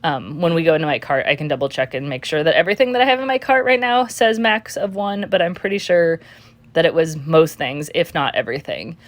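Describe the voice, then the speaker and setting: A woman's voice: sits low, brisk. Chatty young woman with a stuffy cold. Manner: chatty, filler-heavy, apologetic asides. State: slightly congested from a cold. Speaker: shopping vlogger. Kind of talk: casual screen-recording walkthrough.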